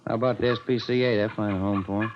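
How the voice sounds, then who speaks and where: An actor playing a matter-of-fact police detective in a clipped 1950s radio drama style. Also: male voice, on the low side, fairly even intonation, racing, natural voice. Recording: dull, noisy 1950s radio recording.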